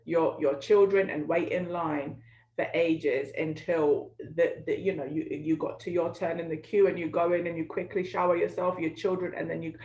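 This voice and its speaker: A woman's voice: on the low side, moderate pace, reverberant, highs cut off. A woman in her thirties, born in northern Iraqi Kurdistan, speaks candidly as an interview guest over a video call from home, talking with her hands. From Kurdistan, northern Iraq. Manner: animated, talks with her hands. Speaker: guest, business coach.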